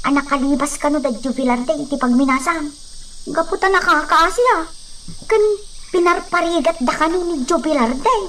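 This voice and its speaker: A gossipy maidservant with an extremely high voice and an Ilocano accent. She chatters deferentially in radio drama style, with faint insect noise behind her. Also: a bit bright. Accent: Filipino, Ilocano.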